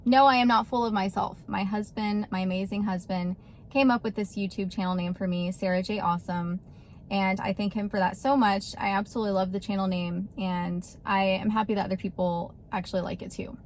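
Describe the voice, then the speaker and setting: A woman in her thirties from Texas, chatting casually to camera and laughing often between animated bursts. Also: mid-range voice, a little fast, slight background noise. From Texas, USA. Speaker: vlogger.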